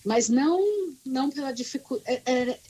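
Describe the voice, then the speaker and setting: A woman, a teacher, chatting casually, telling stories about herself in an animated, self-mocking way with frequent restarts. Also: sits high, normal speed, husky, rough recording. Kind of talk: casual conversation.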